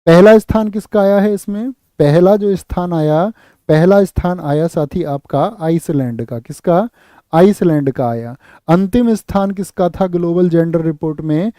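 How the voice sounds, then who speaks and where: A man's voice: fairly high pitch, expressive, unhurried, mellow, dry recording, studio quality. A man teaching current affairs for a competitive exam, asking questions and answering them himself, repeating each key fact and calling listeners "saathi". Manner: calls listeners "saathi" and "bhaiya". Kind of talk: quiz-style current affairs lesson.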